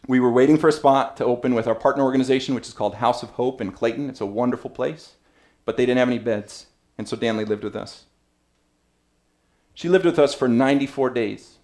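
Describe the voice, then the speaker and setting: Man, 30s, keynote speaker at a podium, earnest and measured, reads from notes. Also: mid-range voice, a little mellow. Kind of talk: prepared speech read from notes. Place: banquet room with an audience.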